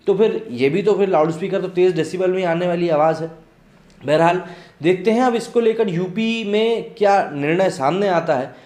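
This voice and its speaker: A man speaks in a reverberant room with a somewhat high-pitched voice. He reports and comments on news like an online host, addressing listeners directly in an earnest, explanatory way. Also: a little mellow.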